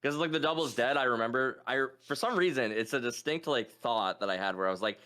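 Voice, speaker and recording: A man chatting casually as a quiz contestant, musing out loud in an informal way. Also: medium pitch, a little fast. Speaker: guest contestant, gaming YouTuber. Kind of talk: casual chat, thinking aloud.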